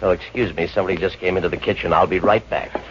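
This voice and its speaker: A man's voice: deep voice, fairly even intonation, quick pace, lightly acted. A man playing a mild, polite, obliging household servant in 1950s radio comedy. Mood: amused, curious. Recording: muffled, very hissy 1950s radio recording.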